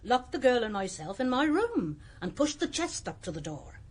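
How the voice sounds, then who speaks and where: A woman's voice: fairly high pitch, big pitch swings, normal speed, husky. An actress playing a plain-spoken, indignant woman innkeeper in a radio drama. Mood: indignant, shaken.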